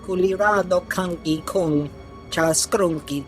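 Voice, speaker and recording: An actor playing an alien creature in a video game, speaking slowly in an invented alien tongue. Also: medium pitch, faint music behind, natural voice. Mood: uneasy. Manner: slow, foreign-sounding invented alien speech.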